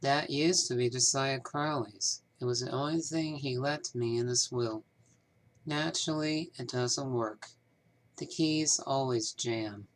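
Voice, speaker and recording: A man acts out a male bank manager from a small town, in video game dialogue. He talks slowly and with measured formality. He comes across as guarded and troubled, a little hesitant when he explains himself. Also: medium pitch, natural voice, low-quality recording. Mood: defensive, then guarded, evasive. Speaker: amateur voice actor. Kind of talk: scripted game character dialogue, fan performance.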